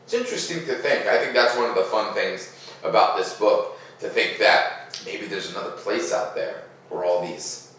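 A middle-aged man reading a storybook aloud to children with casual commentary, expressive and drawing out words as he reads. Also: on the low side. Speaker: read-aloud host. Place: living room, seated in armchair by fireplace. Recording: roomy echo, distant microphone.

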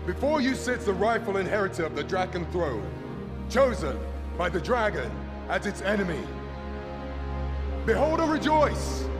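An actor playing a pompous court herald in a fantasy game, declaiming grandly in archaic language. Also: male voice, high voice. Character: pompous male court herald proclaiming a new sovereign. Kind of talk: theatrical fantasy game oratory. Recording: large reverberant hall, music behind.

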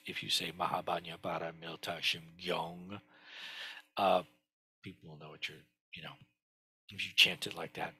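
A man in his sixties teaching a lesson from his home study over a headset, explaining slowly with many fillers and restarts. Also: low voice, pushed and bright, slightly rough. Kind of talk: screen-share lesson on Chinese characters.

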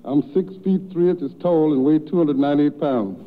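A man's voice: fairly high pitch, normal speed, a little hiss or hum. Echoing, tinny 1930s radio contestant, male. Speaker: amateur show contestant. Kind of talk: 1930s radio interview answers. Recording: tinny 1930s radio, roomy hall reverb.

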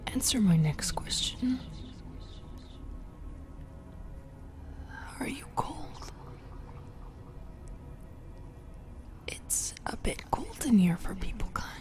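Woman playing a slow, whispering, seductive demoness. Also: medium pitch, sing-song, a little mellow. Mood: coaxing, seductive. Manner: breathy, lingering whisper, playful and menacing. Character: seductive, teasing female sleep paralysis demon, possessively affectionate. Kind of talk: ASMR roleplay. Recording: music behind the voice.

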